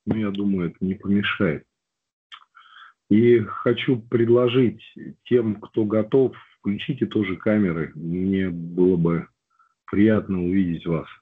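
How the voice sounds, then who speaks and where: A man's voice: fairly low pitch, breathy edge. Man with a cold on a tinny call. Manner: slow, reflective, with hesitant fillers. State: has a cold. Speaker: recovery group speaker. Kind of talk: personal testimony talk. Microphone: video call. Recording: tinny phone-like line.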